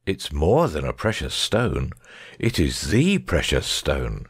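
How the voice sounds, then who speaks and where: A man's voice: extremely low pitch, wildly swooping pitch, slow pace, creaky, husky, dry recording, studio quality, heavily acted. A man playing a brilliant, self-assured detective in a dramatic audiobook, speaking in a precise, didactic way. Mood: precise, intent.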